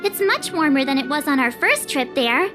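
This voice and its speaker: A very high, lively girlish voice over prominent game music. This teenage traveler is bubbly and talkative, with a playful, teasing streak. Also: normal speed. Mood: cheerful, eager. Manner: chatty, expressive, playful. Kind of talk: video game cutscene acting.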